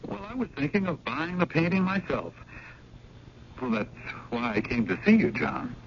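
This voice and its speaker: An actor playing an eager, evasive fiancé and younger brother in a 1970s radio drama, hesitant, rambling and ingratiating. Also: male voice, fairly high pitch, huge pitch swings, moderate pace. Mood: eager, hedging. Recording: muffled, noisy old radio broadcast.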